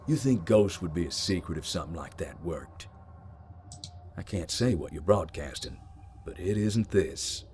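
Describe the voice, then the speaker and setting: A man playing a calm, world-weary ghost investigator in a video game, dry and matter-of-fact. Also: low voice, normal speed, background noise. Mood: dismissive.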